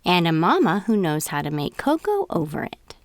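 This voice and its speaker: A woman voicing a gentle, loving mother bear in a children's story, tender and reassuring. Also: on the low side, wildly swooping pitch, moderate pace, no echo, studio quality.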